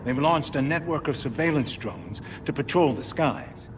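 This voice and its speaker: An actor playing a calm, methodical male mentor giving a video game mission briefing in measured, formal, precise speech. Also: on the high side, noisy background, close to an everyday voice. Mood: calm, instructive.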